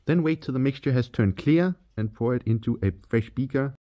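A man's voice: mid-range voice, a little fast, mellow. A man from Denmark with a Danish accent, giving a step-by-step chemistry voice-over for his channel. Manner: plain, step-by-step instructional. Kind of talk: scripted voice-over tutorial.

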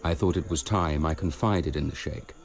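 A young, wronged soldier set on revenge in a radio drama. His voice is very low, and he speaks with measured, earnest formality over clear music. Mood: grave, reflective. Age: young adult. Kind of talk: radio drama acting and first-person narration.

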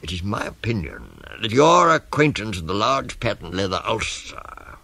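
A man's voice: on the low side, very animated, moderate pace, vocal fry, pushed and bright, dry recording, pitched above the performer's own voice, heavily acted. A man playing a pompous python in a storybook, giving formal, wordy, emphatic advice like an uncle. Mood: urgent, pompous. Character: pompous, wordy python rock snake, avuncular adviser. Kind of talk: storybook character voice.

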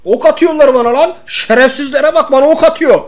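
A man's voice: very high voice, fairly quick. A man playing a video game and chatting in casual slang with a co-player, exclaiming and repeating short phrases.

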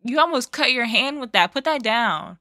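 An American woman in her twenties chatting casually as a reaction host, playful, animated and slangy, close on the microphone. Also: fairly high pitch, moderate pace, no echo. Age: 20s. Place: small bedroom studio, indoors. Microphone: close boom-arm microphone.

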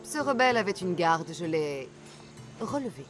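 A blunt wry merc hunter lady in a video game, lowish voice, music behind. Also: sing-song, moderate pace. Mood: dry, blunt. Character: tough, wry female mercenary hunter with a heart. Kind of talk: French game dub dialogue.

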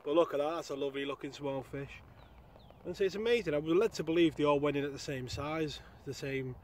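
A man's voice: on the high side, fast talker, slight background noise. A young man chatting casually about the fish he catches, recorded outdoors on a pond bank with an action camera nearby. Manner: chatty, upbeat, with frequent fillers. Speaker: angler vlogger. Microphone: action camera mounted nearby.

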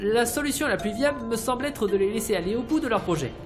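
A woman's voice: fairly high pitch, animated, fast, music in the background, lightly acted. A woman playing an earnest, defensive male scientist of small stature in an audio drama, speaking in formal, wordy phrases. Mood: earnest, proposing.

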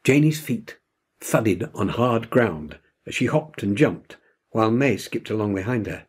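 A man in his seventies reading a children's storybook aloud with expressive narration. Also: on the low side, unhurried, husky. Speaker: storybook reader. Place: indoor room at home.

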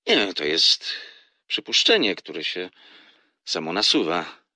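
An actor playing a courteous, reserved, easily wounded gentleman in an audio drama, speaking in a polite, measured way. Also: low-pitched, big pitch swings, dry recording, clean studio sound, full character voice. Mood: hurt, restrained. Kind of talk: audiobook radio drama acting.

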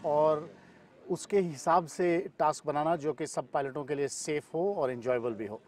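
Higher-pitched middle-aged man, outdoors. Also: measured pace, a little mellow. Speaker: paragliding pilot and event weather expert, interview guest. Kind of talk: interview answers, Hindi with English terms. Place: open grassy field in the mountains. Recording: clear outdoor ambient noise.

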